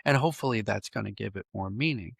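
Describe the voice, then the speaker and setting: Guy with a creaky gravelly voice, dry close sound, thinking out loud in an interview, rewords stuff a lot. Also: lowish voice, dry recording. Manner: thoughtful, halting, circling back to rephrase. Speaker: podcast guest, writer and dramatist. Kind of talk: conversational interview answers.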